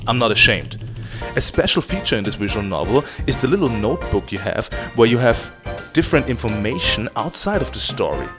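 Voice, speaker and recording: A man talks through a video game review with very lively, swooping intonation. He is theatrical and self-deprecating and swears freely. Music plays clearly behind his voice. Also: medium pitch, a little fast. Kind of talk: casual, profane review commentary over gameplay. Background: background music.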